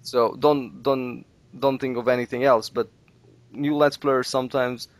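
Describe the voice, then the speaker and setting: A man whose first language is Russian talks earnestly about making gaming videos, dropping "you know" as he goes. His voice sits at an ordinary middle pitch. The recording is dry and close, with no room sound at all. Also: fairly even intonation, unhurried, no echo. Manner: earnest, advice-giving, peppered with "you know". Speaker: Let's Play video maker. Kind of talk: casual podcast interview.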